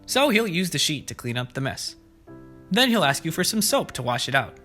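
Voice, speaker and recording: Expressive higher-pitched man reading to kids. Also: normal speed. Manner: expressive, speaking simply to young children. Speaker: preschool story-time host. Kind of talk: children's storybook read-aloud and simple explanations. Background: background music.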